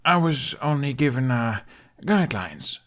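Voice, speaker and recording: A man's voice: medium pitch, sing-song, unhurried, fairly bright tone, pitched above the performer's own voice. A man voicing a shy, awkward young hero with a hesitant, sheepish, stammering delivery in an audiobook. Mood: nervous, rambling.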